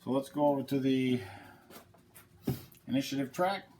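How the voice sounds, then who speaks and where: A man's voice: medium pitch, moderate pace, mellow, a little hiss or hum. A man with a head cold hosting his channel, talking casually through a board game playthrough and explaining the rules step by step. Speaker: channel host.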